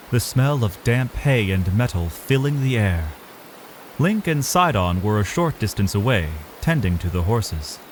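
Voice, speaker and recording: A man narrating a dramatic story in a solemn, theatrical, deliberate way. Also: lowish voice, a little hiss or hum. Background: music.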